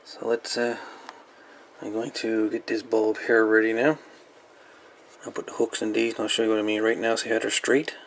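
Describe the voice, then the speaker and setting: A man giving a casual hands-on repair walkthrough in a halting, folksy way with colloquial grammar. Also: lowish voice, flat delivery, slight background noise. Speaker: repair video host. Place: indoor electronics workbench.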